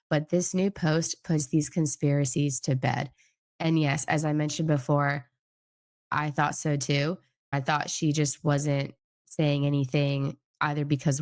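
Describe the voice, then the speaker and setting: A woman's voice: deep voice, gravelly, a little mellow, no room sound, studio quality. A young woman with a nasal voice from a lingering cold, casually chatting as host and reading news aloud, rambling and hedging as she goes. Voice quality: nasal, getting over a cold. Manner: rambling and hedging, with many fillers. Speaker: host of a news recap channel.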